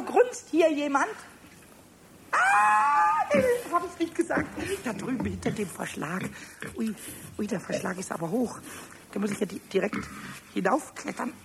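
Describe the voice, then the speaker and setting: A squeaky giggly little kobold, cheeky and up to mischief, voice bouncing all over, noisy old radio play. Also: male voice, creaky, full character voice. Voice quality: high, squeaky and stammering. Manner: giggling, excitable, talks to himself. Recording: noisy 1970s radio play with farm animal sounds.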